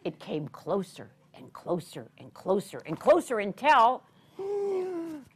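Older female children's storyteller, high-pitched and swooping, theatrical, with deliberate drawn-out phrasing. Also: huge pitch swings, breathy edge. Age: 60s or older. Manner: theatrical, deliberate, with drawn-out words and questions to the listeners. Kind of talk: animated read-aloud storytelling to young children. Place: children's story room in a public library.

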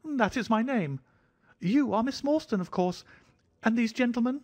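A man voicing a jittery, fussy little man of about thirty, an anxious hypochondriac with a thin, high voice. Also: lively intonation, normal speed, a little mellow. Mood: excited. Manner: fidgety, effusive, fretful.

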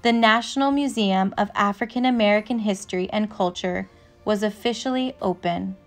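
A woman narrating a picture book aloud with measured, deliberate phrasing. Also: mid-range voice, faint music behind. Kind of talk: storybook read-aloud, scripted narration.

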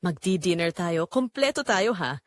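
A woman playing a cheerful, bubbly grown daughter, eager and excited. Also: fairly low pitch, normal speed, no echo, studio quality, close to an everyday voice.

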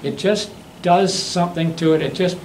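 A man in his seventies giving an informal demonstration talk, halting and anecdotal as he rambles along. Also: high voice, steady tone, a crowd in the background. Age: 70s. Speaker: guest demonstrator and hobbyist. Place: classroom lecture room. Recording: faint room noise.

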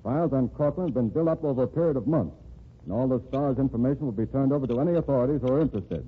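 A man's voice: mid-range voice, rapid-fire, slightly rough, lightly acted. A man playing a menacing gangster boss. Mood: threatening. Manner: tough, clipped street talk. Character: menacing male gangster boss, blunt and threatening. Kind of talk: 1940s radio acting. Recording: tinny old radio broadcast with hiss.

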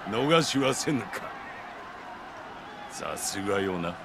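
An actor playing a magnanimous, genial middle-aged samurai lord in a game, speaking in a stately, measured way. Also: male voice, lowish voice, gravelly, background noise, full character voice. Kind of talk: Japanese samurai game cutscene acting.

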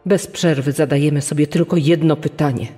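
A man playing a grieving father making a statement in a true-crime audio dramatisation, with a husky, dark voice. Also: a little high, fairly even intonation, fairly quick. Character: grieving father of a murdered daughter. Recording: long reverb, music bed behind.

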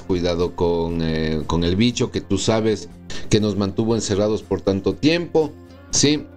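A man in his forties giving a weekly tarot horoscope, often checking in with the listener through short tag questions. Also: fairly low pitch. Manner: warm reassuring, checks in with "¿sí?" and "¿ok?". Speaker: tarot reader. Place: small indoor room with plants and wood blinds.

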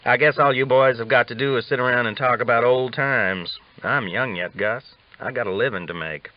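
A man's voice: mid-range voice, moderate pace, pitched above the performer's own voice, lightly acted. An evasive cowboy drifter voiced by a male audiobook reader, grumbling in casual rustic speech. The recording is a little dull and lo-fi.

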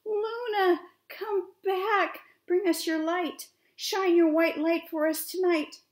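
A woman voicing birds and wind in a children's story, calling out in a loud, pleading sing-song. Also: extremely high pitch, steady tone, takes it slowly, reverberant, voice pushed up.

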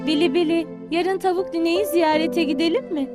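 Woman voicing a gentle mother hen. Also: very high-pitched, moderate pace, loud music behind the voice, voice pushed up. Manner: gentle, guiding. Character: caring mother hen, calm and warm.

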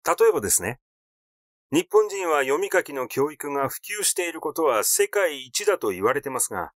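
A man's voice: fairly high pitch, sing-song, normal speed, dry recording, clean studio sound. A man voicing a suspicious son who questions politely and insistently in a mystery audiobook. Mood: probing, suspicious. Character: suspicious, persistently probing son of the deceased.

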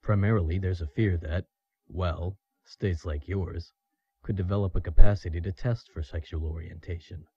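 An actor playing a grave, authoritative expert explaining things in an audio drama. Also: male voice, sits low, steady tone, moderate pace, dark tone, slightly rough, no echo, muffled recording. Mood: grave, explanatory.

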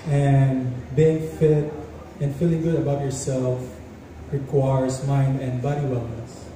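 A man's voice: mid-range voice, little pitch movement, slow, mellow. A man in his thirties from the Philippines, a pageant contestant giving a plain, matter-of-fact self-introduction on stage. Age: 30s. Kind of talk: prepared self-introduction speech. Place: large indoor hall stage. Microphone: handheld mic, filmed from far. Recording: echoey noisy hall from far off.

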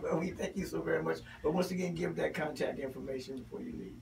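A man's voice: on the high side, quick pace, vocal fry, darkish tone. A man hosting a conversational radio interview, putting questions to his guest. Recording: distant mic, faint room noise.

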